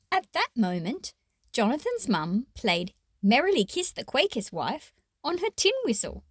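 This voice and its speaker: Slow, lively woman reading a picture book aloud. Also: on the high side, no room sound, studio quality. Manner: measured, expressive phrasing with explanatory asides. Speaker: children's picture book read-aloud host. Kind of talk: expressive storybook read-aloud with asides to young listeners.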